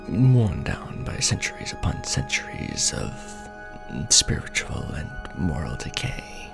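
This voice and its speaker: A man playing an ancient, world-weary vampire lord in an intimate ASMR roleplay, speaking formally and grandly in a breathy near-whisper with soft menace. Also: on the low side, heavily acted. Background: music.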